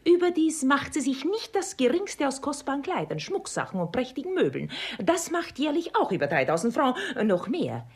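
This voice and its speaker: An actress playing a sly, flattering matchmaker in a German radio play, with an emphatic, wheedling, theatrical delivery. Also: female voice, high-pitched, moderate pace, few pauses. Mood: persuasive. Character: sly, flattering, scheming older female matchmaker angling for money.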